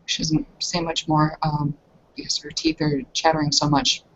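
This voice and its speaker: A woman's voice: low-pitched, completely flat delivery, normal speed, fairly bright tone. A woman casually and hesitantly narrating her character in a tabletop roleplay, on a headset. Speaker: roleplay game player. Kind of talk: descriptive tabletop game narration. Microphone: headset on online video call. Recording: dull, slightly noisy online call audio.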